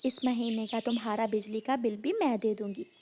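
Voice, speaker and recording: A woman's voice: fairly high pitch, fairly even intonation, moderate pace, dark tone, slight background noise, lightly acted. A woman voicing a rich, generous bird who is a caring household mistress in a children's cartoon. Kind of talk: Hindi children's moral cartoon dialogue.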